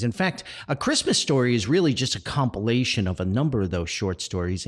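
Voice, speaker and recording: A middle-aged man hosting a podcast, telling nostalgic anecdotes in a casual, rambling way. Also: low-pitched. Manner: rambling, chuckles often, nostalgic storytelling. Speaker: podcast host and author.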